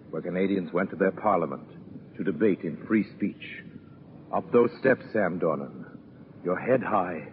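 An actor playing a stern, solemn narrator who addresses a doomed prisoner in a 1940s radio drama. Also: male voice, low-pitched. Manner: solemn, dramatic, rhetorical delivery. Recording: tinny, noisy 1940s radio broadcast.

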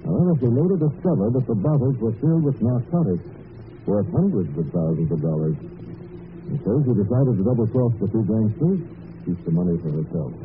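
Deliberate, mocking hero of the shadows; lively inflection, tinny old radio sound. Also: male voice, lowish voice. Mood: relaxed explaining. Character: mocking shadowy male crime-fighter with a sinister laugh. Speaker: hero detective. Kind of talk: 1940s radio acting. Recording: thin, noisy old radio broadcast.

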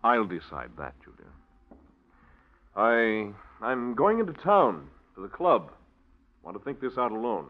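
An actor playing a betrayed husband in a 1940s radio drama, curt and clipped. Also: male voice, medium pitch, wildly swooping pitch, measured pace, pauses often. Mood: stern. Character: stern, betrayed middle-aged husband. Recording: tinny old radio recording.

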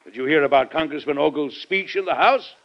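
A man's voice: a little high, fairly quick, close to an everyday voice. An actor playing a stern, exasperated middle-aged American president and father in an old-time radio drama, formal and emphatic. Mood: stern, sarcastic. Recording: dull old radio broadcast recording.

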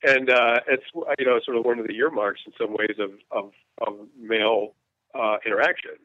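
A man's voice: mid-range voice, steady tone, moderate pace, no echo. A man in his fifties from the United States, a war journalist telling his story in an interview, frank and earnest, often restarting his sentences. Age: 50s. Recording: tinny phone-line sound, dry.

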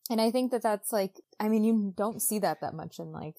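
A woman's voice: medium pitch, a little fast, mellow. Female podcast co-host, informal comedic recapper who slips into characters' lines in slangy modern speech. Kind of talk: casual comedic book recap.

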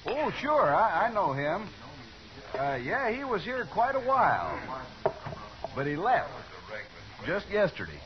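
High, swooping, nervous saloon barkeep. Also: male voice, huge pitch swings, takes it slowly, pauses often, background noise, heavily acted. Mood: evasive, nervous. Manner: hesitant, overly agreeable. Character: evasive, nervous frontier saloon barkeep, adult man. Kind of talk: 1950s radio western acting. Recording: muffled old radio recording, crowd behind.

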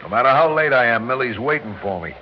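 An actor playing a tired, devoted working-class young husband in an American radio drama, plain-spoken and stammering when pressed, with an American accent. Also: male voice, medium pitch, animated, fast talker, close to an everyday voice. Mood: fond, proud. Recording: muffled, noisy old radio recording.

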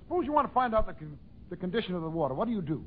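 An actor playing an officious, pompous beach superintendent in a 1940s radio comedy, emphatic and self-important. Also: male voice, high voice, sing-song, rapid-fire. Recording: tinny, noisy old radio, faint audience.

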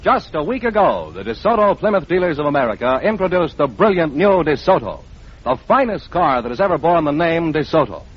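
A man's voice: on the high side, big pitch swings, fairly quick, a little hiss or hum. A man announcing on vintage radio, reading scripted copy in a polished mid-century advertising style. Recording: dull vintage radio recording.

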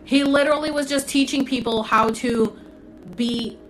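A woman's voice: high-pitched, fairly even intonation, moderate pace, a little hiss or hum, rough recording. A young woman from Puerto Rico, raised in Florida, hosting a casual livestream and answering viewers' comments. She talks bluntly and candidly, swears freely, and fills her talk with "like," speaking into a phone. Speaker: livestream host.

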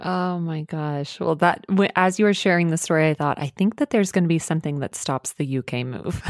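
A woman's voice: low-pitched, moderate pace, dry recording, clean studio sound. A woman hosting a casual interview podcast, reacting effusively with plenty of exclamations. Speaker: podcast host.

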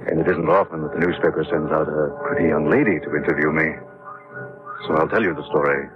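An actor playing a practical, matter-of-fact middle-aged oil engineer telling his story in a measured, conversational way in an old radio drama. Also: rumbling low, fairly even intonation, over loud music. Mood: reflective, matter-of-fact. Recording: dull, muffled old radio recording.

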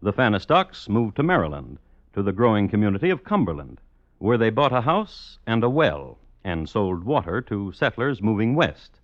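An actor playing a dry, deadpan crime historian who hosts and narrates an old radio series with precise, formal wording. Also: male voice, on the low side, normal speed, dry recording, highs cut off. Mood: dry, wry. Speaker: host and narrator. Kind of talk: 1950s radio scripted narration.

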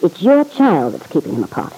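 A man's voice: sits high, wildly swooping pitch, fairly quick, warm tone, a little hiss or hum, exaggerated voice, low-quality recording. An actor playing a stern, insistent man in an old-time radio serial, blunt, slangy and accusing, pressing for the truth. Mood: urging.

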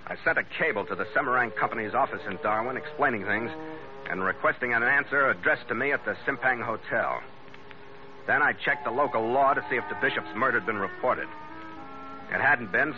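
An actor playing a tough, cynical sea captain in an old-time radio adventure, with a clipped, hard-bitten, wry delivery. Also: male voice, mid-range voice, fairly quick, music in the background, close to an everyday voice. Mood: cool, wry. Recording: muffled, noisy old radio recording.

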